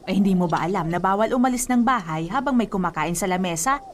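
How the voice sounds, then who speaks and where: A woman's voice: mid-range voice, lively intonation, quick pace, a little hiss or hum, lightly acted. A woman acting an insistent, superstitious elderly grandmother in a radio drama, with a Filipino accent and a scolding, fretful manner.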